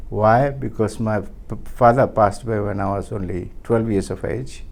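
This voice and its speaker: A man, speaking as an interview guest in a halting, earnest way with many pauses and restarts. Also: fairly low pitch, gravelly. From Fiji. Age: 70s. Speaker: interview guest, community leader. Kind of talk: casual interview.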